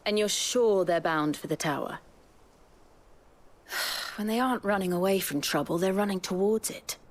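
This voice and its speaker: An actress playing a calm, wry female warrior companion in a video game. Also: medium pitch, normal speed, lightly acted. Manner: wry, understated.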